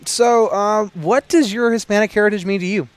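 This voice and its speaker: A man's voice: sits high, fairly even intonation, normal speed, smooth, no echo. A young man hosting a college coaches show, upbeat and laughing often. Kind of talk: casual interview.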